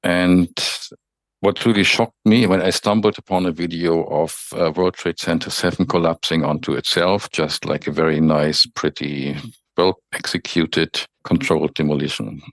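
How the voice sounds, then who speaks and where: A man from Germany with a German accent, a guest explaining his background in measured interview answers. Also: low voice, steady tone, dry recording. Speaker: guest, author.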